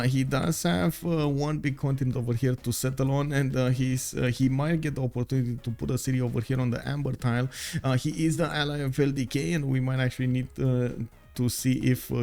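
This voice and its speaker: A young man calls a strategy match live, his mid-range voice pausing often on fillers and restarting sentences. Now and then he breaks into sudden excited exclamations. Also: mid-range voice. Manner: filler-heavy, halting, frequent uh and restarts. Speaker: game caster. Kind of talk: live game commentary.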